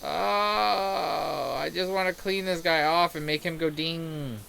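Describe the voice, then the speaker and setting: A man's voice: on the high side, fairly even intonation, slow, pushed and bright. Crude, jokey man over noticeable noise. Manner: crude, jokey, rambling, sweary; acts out quoted remarks. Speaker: game streamer. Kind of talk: casual gaming commentary and chat. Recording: clear background noise.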